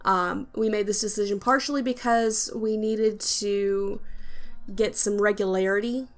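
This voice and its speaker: A woman's voice: medium pitch, steady tone, unhurried, soft background music. A woman co-hosting a podcast in casual, conversational talk. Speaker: podcast co-host.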